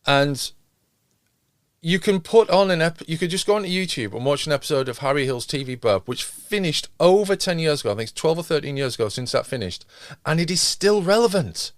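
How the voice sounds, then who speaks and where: A man's voice: a little high, animated, a little fast, no room sound, clean studio sound. A middle-aged man with a British accent co-hosting a podcast, talking casually and a little hesitantly, with questioning asides. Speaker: podcast co-host. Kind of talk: casual chat about television.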